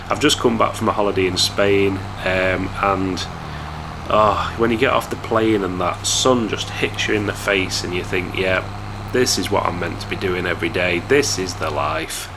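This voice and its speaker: A young man from England with a hoarse voice from a cold, chatting casually to viewers while commentating a game. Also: low-pitched, normal speed, background noise. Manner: chatty, relaxed, talks straight to viewers. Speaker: gaming YouTuber.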